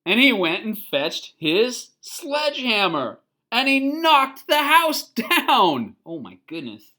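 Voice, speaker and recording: A children's picture book reader with a bright, forward voice. The delivery is slow and expressive, moving between storytelling and simple explanatory asides with small questions to the listener. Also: mid-range voice.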